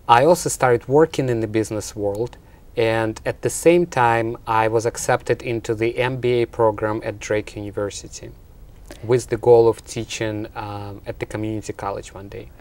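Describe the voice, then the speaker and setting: A man from Russia with a Russian accent, answering interview questions in an explanatory way, as a college instructor. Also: mid-range voice. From Russia and Ukraine.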